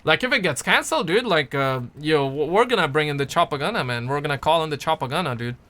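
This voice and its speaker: A man's voice: a little high, expressive, fast, pushed and bright. A young man from Pakistan, chatting casually on a livestream and answering viewer comments in a rambling, playful way that repeats words. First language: Urdu.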